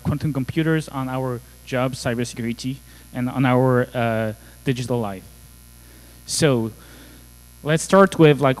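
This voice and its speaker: A man's voice: a little high, poor audio. A man in his thirties from France with a French accent, giving a joking, casual conference talk full of fillers. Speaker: conference speaker. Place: conference hall.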